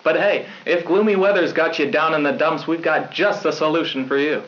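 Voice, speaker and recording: A young man playing a cheerfully corny high school news anchor in a scripted newscast on dull old tape. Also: fairly even intonation, fairly quick, a bit bright, natural voice. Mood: chipper. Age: young adult. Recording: dull old VHS broadcast sound.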